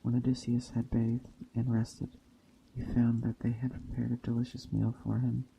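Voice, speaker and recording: A man softly reading a story in a hushed, gentle ASMR storytelling style. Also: mid-range voice, monotone, normal speed, vocal fry, a little hiss or hum, low-quality recording. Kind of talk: soft-spoken ASMR reading of a Greek myth tale. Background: soft nature ambience.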